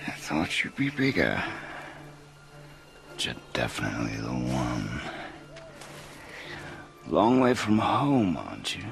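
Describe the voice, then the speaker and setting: A menacing, mocking villain god in a video game. His very deep, gravelly voice moves slowly and sardonically. Music sits behind it. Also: huge pitch swings, full character voice.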